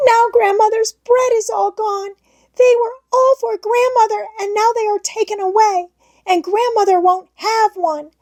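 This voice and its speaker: A woman's voice: very high-pitched, fairly even intonation, measured pace, a little mellow, pitched above the performer's own voice. A woman voicing an earnest, homesick little orphan girl in a storybook, pleading and plaintive. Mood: sobbing.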